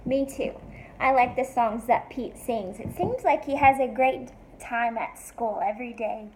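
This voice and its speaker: A woman reading a picture book to young children like a teacher, expressive and sing-song, with encouraging questions. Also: fairly high pitch, a little slow, a little hiss or hum, rough recording. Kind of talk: read-aloud for young children, asking questions.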